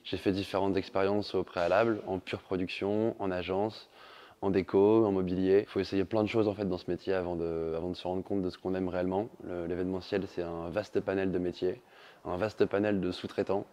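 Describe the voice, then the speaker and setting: A man's voice: low-pitched, a little mellow. A man gives an interview as a sales manager. He explains things step by step, repeating small words while he looks for the next phrase. The sound is somewhat dull, lacking top end. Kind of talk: recruitment interview testimonial.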